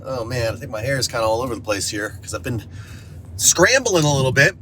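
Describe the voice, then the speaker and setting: Bright-voiced man vlogging casually. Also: mid-range voice, big pitch swings, fairly quick. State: sniffly from allergies. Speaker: audio drama director and producer vlogging. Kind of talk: casual behind-the-scenes vlog. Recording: faint background noise.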